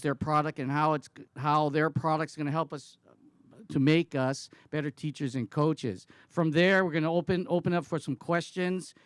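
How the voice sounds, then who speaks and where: Male panel moderator, slightly high, clean dry sound, halting delivery with lots of restarts. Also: smooth, no echo. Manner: halting, repeats words often. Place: conference room.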